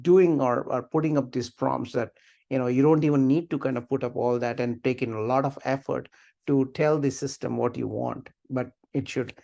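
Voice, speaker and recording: A middle-aged man teaching over a video call. His voice is mid-pitched and full of creak, and he speaks haltingly, often repeating and rephrasing as he leads discussion. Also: steady tone, dark tone. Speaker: webinar instructor. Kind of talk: informal class discussion. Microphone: video call computer mic.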